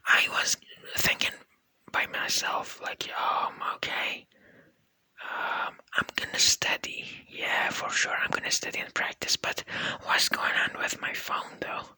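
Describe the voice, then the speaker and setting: Young non-native English speaker, slow soft whisper, crisp and bright, dry close mic, rambling diary tone. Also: dry recording, low-quality recording. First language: not English; Persian is a second language. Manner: soft unvoiced whisper, rambling and laid-back with many fillers. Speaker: university student, vlogger. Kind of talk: whispered ASMR diary vlog.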